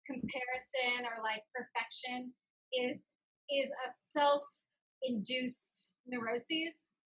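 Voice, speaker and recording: A woman interview host with a high, bright voice. She speaks in a casual, reflective conversational style, feeling her way through each thought and rephrasing as she goes. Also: fairly even intonation, takes it slowly, rough recording.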